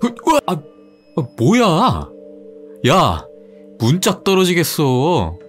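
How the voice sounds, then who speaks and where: A man's voice: on the high side, wildly swooping pitch, slow, a bit bright, faint music behind, voice pushed up, exaggerated voice. A man playing a timid young male student who is easily scared and stammers when frightened. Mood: panicked. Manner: panicky, stammering.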